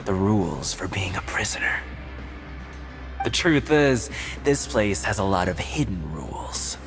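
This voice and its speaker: A man's voice: deep voice, big pitch swings, unhurried, music in the background, heavily acted. A man voicing a sly, streetwise prisoner in a video game, teasing and matey as he hints at secrets. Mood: sly, conspiratorial. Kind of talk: video game cutscene voice acting.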